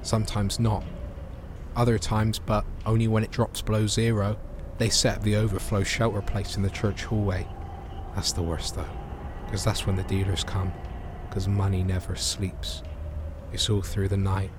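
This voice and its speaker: A man's voice: lowish voice, little pitch movement, moderate pace, noisy background, natural voice. An actor playing a desperate young homeless man in an audio drama, breathless and chesty, pleading and confessional. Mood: weary. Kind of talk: audio drama acting, dialogue and monologue.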